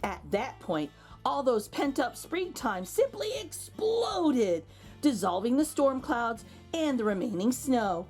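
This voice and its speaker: Lady doing a kids storytime read aloud, highish voice that swoops around a lot, bit of music behind. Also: slow pace. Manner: animated, with exclaimed asides to young listeners. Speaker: children's read-aloud host. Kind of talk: expressive picture book storytelling.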